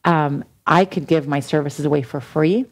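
A woman's voice: deep voice, moderate pace. A woman health coach talking as a guest on a studio set, conversational and loose with fillers. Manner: conversational, many fillers like um and you know. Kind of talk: casual chat. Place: indoor TV studio set, seated at a café table.

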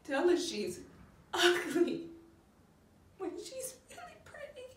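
A woman's voice: on the low side, measured pace, natural voice. A woman acting a dramatic stage monologue as an embittered, wounded young mother, raw and emotional, dropping into near-whispers. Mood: tearful, outraged. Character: embittered, wounded young mother confronting her child's absent father. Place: echoey room. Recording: echoey room, distant mic, faint noise.

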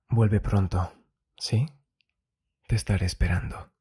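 A man giving a soft, intimate ASMR monologue in a breathy voice that often drops to a whisper, soothing and confiding, close to the mic. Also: fairly low pitch, steady tone, extremely slow. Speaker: ASMR host giving love advice. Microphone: very close ASMR microphone.

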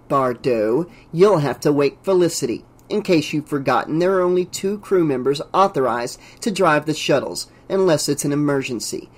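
A man voicing a tough, dismissive female crew member with a sarcastic, curt manner in an audiobook story. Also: fairly high pitch.

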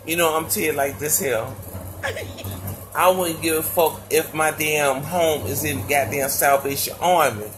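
A woman with a deep voice, ranting profanely and stammering over a phone video call. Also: steady tone, a little slow, noisy background. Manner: stammering, restarts often. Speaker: live stream call-in guest. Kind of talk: profane casual rant. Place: indoors, lying on a couch.

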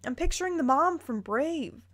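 Girl reading fanfic out loud with bemused side comments, kinda high voice. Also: animated, normal speed. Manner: playful, incredulous asides. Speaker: YouTuber reading fan fiction aloud.

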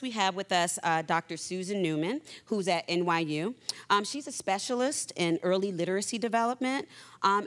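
A woman in her forties with a low voice, moderating a panel on stage. She talks loudly and conversationally into a handheld mic, with many "ums" along the way. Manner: loud voice, warm and conversational with fillers. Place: stage in a theater.

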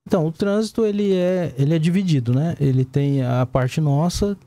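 A Brazilian man in his forties explaining things as a police commander in a studio interview, haltingly and with frequent tag questions. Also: on the high side, warm tone, no room sound. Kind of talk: live studio interview answers. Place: small indoor broadcast studio. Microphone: desk gooseneck microphone.

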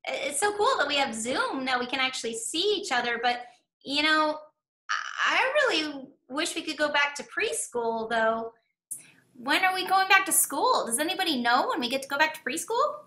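A woman voicing an excitable, gushing little puppet girl with a squeaky voice in a children's puppet show. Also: normal speed, heavily acted. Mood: wistful. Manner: gushing, eager. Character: excitable young purple puppet preschool girl. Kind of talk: children's puppet show over video call. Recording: echoey video call.

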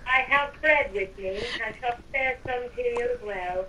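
A woman's voice: a little high, moderate pace, sharp tone, distant mic, a little hiss or hum, rough recording. A woman playing a helpful guide with formal, quaint speech in an improvised game roleplay.

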